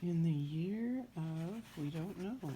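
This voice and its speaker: A woman reading a fairy tale aloud as a storyteller, in an unhurried, expressive storytime manner. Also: on the low side, noisy background.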